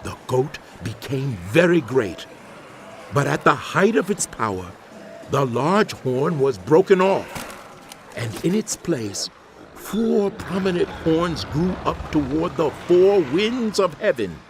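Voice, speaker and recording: A man's voice: fairly high pitch, sing-song, slow pace, background noise, full character voice. A man playing a troubled prophet, recounting visions in solemn, dramatic scripture narration. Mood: intense, dramatic.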